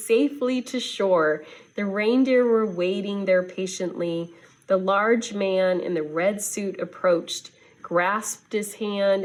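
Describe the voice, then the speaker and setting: Female storybook reader, teacherly: unhurried, expressive delivery at a medium pitch, for young listeners. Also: rough recording. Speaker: schoolteacher reading to students. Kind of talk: children's storybook read-aloud.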